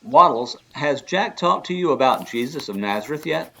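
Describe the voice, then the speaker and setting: A man's voice: mid-range voice, lively intonation, normal speed, creaky, bright, forceful tone, slightly rough, full character voice. A man playing a good-natured older history professor and adventurer who explains things in a children's radio drama. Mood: friendly explaining. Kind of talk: kids' radio drama acting.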